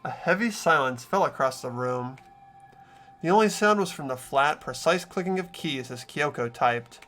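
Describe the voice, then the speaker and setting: Man as an earnest teenage boy, bright and lively. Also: a little high, normal speed, faint music behind. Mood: solemn narration. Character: earnest, soft-hearted teenage boy, the narrating hero. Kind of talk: amateur fan voice acting, narration and dialogue.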